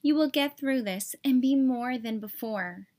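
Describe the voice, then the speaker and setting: A kind, upbeat twig from a children's storybook, played by a woman, with a very high voice. She speaks emphatically, like an eager friend giving encouragement. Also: a little slow, dark tone, lightly acted.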